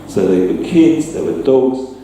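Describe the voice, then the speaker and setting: A man's voice: medium pitch, expressive, unhurried, mellow. A man giving an informal talk to a live gathering, chatty and hesitant, with a self-deprecating streak. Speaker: presenter, sound effects maker. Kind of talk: informal public talk with demonstration. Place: live talk in a reverberant indoor venue. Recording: echoey room, distant mic, faint noise.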